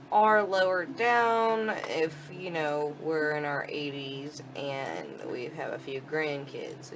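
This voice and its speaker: Female game demo presenter, low register, informal and instructional, clear music bed underneath. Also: poor audio. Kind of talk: casual live walkthrough commentary.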